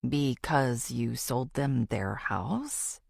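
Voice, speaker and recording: A woman's voice: a bass voice, lively intonation, extremely slow, vocal fry, darkish tone, no room sound, studio quality, voice pushed down, heavily acted. A woman voicing a suspicious, hurt woman who confronts her best friend with pointed demands in an audiobook.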